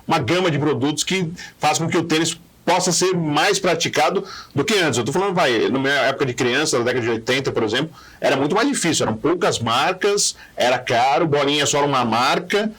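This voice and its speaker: A man's voice: a little high, lively intonation, brisk, hardly any pauses, a bit bright, husky. A man in his forties with a Brazilian accent, chatting casually as an interview host. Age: 40s. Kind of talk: casual chat.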